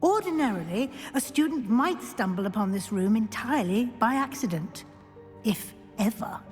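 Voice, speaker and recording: A brisk, encouraging female professor in a fantasy game. She instructs in a fairly high voice. Long hall reverb surrounds her, with faint music behind. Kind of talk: expository game voice acting.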